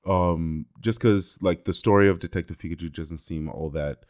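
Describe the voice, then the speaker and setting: A man on a podcast panel giving measured, hedged opinions in casual chat. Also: deep voice, a little mellow, no echo, studio quality.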